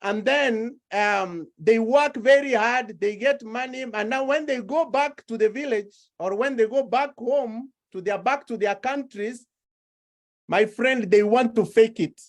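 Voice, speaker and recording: A woman preaching a sermon, slow and deliberate, building her points through repeated phrases. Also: a little high.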